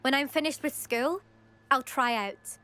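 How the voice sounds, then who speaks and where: Competitive schoolgirl in a video game, highish voice, cocky n teasing. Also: fairly even intonation, measured pace, sharp tone. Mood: wry. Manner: confident, teasing. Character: competitive, cocky teenage schoolgirl broom racer.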